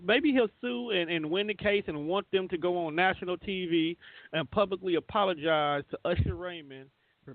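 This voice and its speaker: A man hosting a radio show with casual, rambling, colloquial celebrity-news banter and some swearing. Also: fairly high pitch. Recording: thin phone-like sound, roomy echo.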